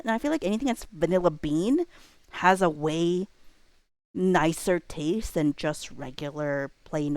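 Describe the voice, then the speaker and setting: A woman's voice: lowish voice, no room sound. A woman hosting a livestream, chatting casually and playfully with viewers in a rambling way. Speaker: livestream host. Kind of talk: casual chat with live viewers.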